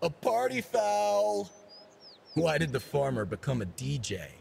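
An actor playing a cocky, laid-back young dancer and DJ in a video game, with swaggering party slang and a gravelly voice. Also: male voice, on the high side, huge pitch swings, a little slow, a little mellow, slight background noise, exaggerated voice. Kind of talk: video game character voice lines.

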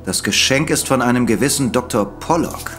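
An actor playing a helpful, matter-of-fact police officer in a German audio drama. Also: male voice, mid-range voice, normal speed, a bit bright, faint music behind, natural voice. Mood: obliging, curious. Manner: helpful, factual, discreet.

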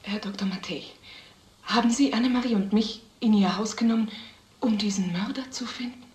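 A woman's voice: medium pitch, a little fast, darkish tone, natural voice. Wounded mother in a roomy film scene woman acting. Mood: shocked, wounded. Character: indignant, wounded mother demanding the truth. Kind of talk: old German crime film dialogue. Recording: roomy film soundtrack with background noise.

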